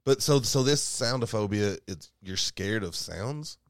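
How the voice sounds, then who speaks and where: A soft-spoken man co-hosting a casual podcast chat, rambling with lots of restarts and quick laughs. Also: lowish voice, expressive, dry recording, clean studio sound. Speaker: podcast co-host. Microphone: studio mic.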